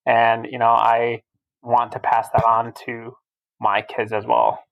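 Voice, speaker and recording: A man's voice: mid-range voice, completely flat delivery, slow pace, highs cut off. A man in his thirties from Albania, a podcast guest talking casually and telling his story steadily. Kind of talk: casual interview chat.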